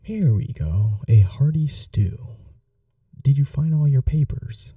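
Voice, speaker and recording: Man playing a dark-voiced, courteous vampire gentleman. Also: medium pitch, animated, slow, no room sound, clean studio sound, distant mic. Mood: caring, attentive. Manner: polite, soothing, softly formal. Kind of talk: intimate ASMR roleplay.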